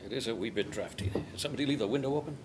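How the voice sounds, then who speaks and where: A man's voice: medium pitch, very fast, lightly acted. A man playing a pompous, dim, sarcastic crew member in a sci-fi comedy table read, with faint room noise. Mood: dramatic.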